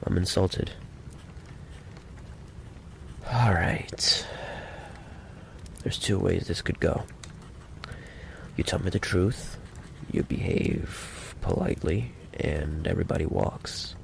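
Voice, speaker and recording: A man playing a menacing, impatient mafia boss in an ASMR roleplay, taunting the listener with slow, mock-courteous threats in a gravelly voice. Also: slight background noise, exaggerated voice. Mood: cool menace. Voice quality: deep, gravelly. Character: menacing, possessive male mafia boss, smooth-talking and impatient.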